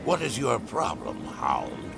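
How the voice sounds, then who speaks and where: Deep, gravelly, ruthless general. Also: male voice, wildly swooping pitch, takes it slowly, loud music behind the voice, full character voice. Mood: impatient anger. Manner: commanding, menacing. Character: ruthless, bullying male general hunting rebels. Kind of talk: video game voice acting. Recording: clear noise behind the voice.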